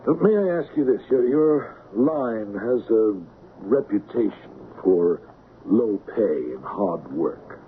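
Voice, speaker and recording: An actor playing a shrewd, persistent police lieutenant in an old radio crime drama, with a dry, probing manner. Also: male voice, lowish voice, expressive, slow. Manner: dry, probing, hesitant lead-ins. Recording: narrow, noisy old radio broadcast.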